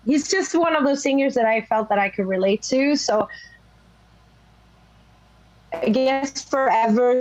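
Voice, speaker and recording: A young woman from Sweden with a naturally raspy voice, a rock singer chatting casually in an interview, rambling with many fillers. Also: medium pitch, dry recording, low-quality recording. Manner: rambling, lots of "like" and "you know". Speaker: rock vocalist guest.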